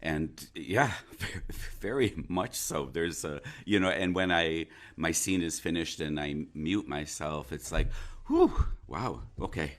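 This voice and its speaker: A man speaking reflectively as an interview guest, thoughtful and halting as he searches for his words. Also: rumbling low. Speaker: interview guest, stage actor.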